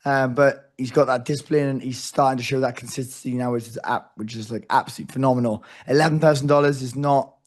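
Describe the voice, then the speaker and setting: A man with a hoarse, fading voice hosting a casual interview, chatty and rambling, with many restarts. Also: medium pitch, steady tone, fast, few pauses. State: losing his voice. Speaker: host.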